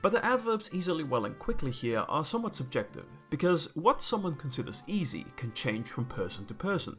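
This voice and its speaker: A man's voice: fairly high pitch, big pitch swings, brisk, bright, forceful tone, soft background music. A young man from Croatia, a native Croatian speaker, giving a scripted and opinionated explanation to his viewers with dry asides. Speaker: video creator. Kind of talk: scripted talk to camera.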